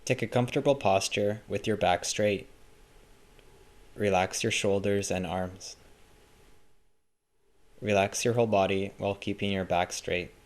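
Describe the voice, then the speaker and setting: A man leading a guided meditation as a scripted instruction, speaking in a calm, measured and gently instructive way. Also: fairly low pitch.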